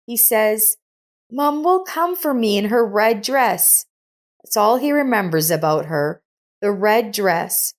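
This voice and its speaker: A woman voicing a sick, kindly older woman in a storybook narration, speaking gently and earnestly. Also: medium pitch, natural voice.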